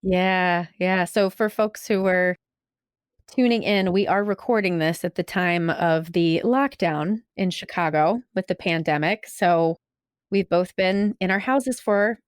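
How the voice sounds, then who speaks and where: A woman's voice: on the low side, unhurried, clear, resonant tone, no echo, studio quality. A woman hosting a podcast interview from home, chatty and effusive, often laughing. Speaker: podcast host. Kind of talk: casual interview. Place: home, during lockdown.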